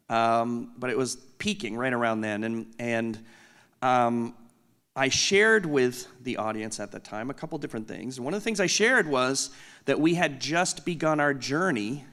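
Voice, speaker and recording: Guy with a loud voice riffing through a keynote on stage, jokes at his own expense, mid pitch. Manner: candid, self-deprecating, joking asides. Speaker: company executive giving a keynote. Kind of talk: unscripted conference keynote. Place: conference hall, on stage.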